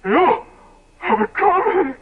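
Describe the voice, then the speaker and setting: An actor playing a frightened, loyal manservant in a 1970s radio drama, halting and melodramatic, on a tinny old recording. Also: male voice, very high-pitched, animated, a crowd in the background, exaggerated voice. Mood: horrified awe.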